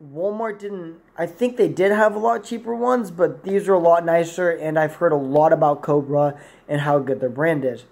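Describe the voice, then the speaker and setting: A young man with a slightly high voice reviews a product off the cuff. He talks casually to a camera in a plain indoor room, rambling and correcting himself as he goes. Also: moderate pace, vocal fry, a little mellow. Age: 20s.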